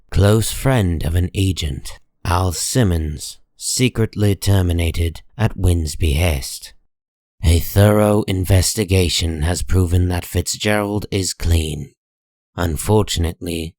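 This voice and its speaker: A man with a very low voice narrates a dark comic-book tale. He takes his time, grave and measured, placing each phrase deliberately. The sound is dry, with no room around it. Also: dry recording.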